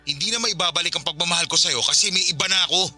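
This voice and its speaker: Casting: exasperated ex-boyfriend and dad, very bright forceful voice, fast delivery, firm and curt, radio drama. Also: male voice, a little high, soft background music. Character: firm, exasperated young father refusing his ex.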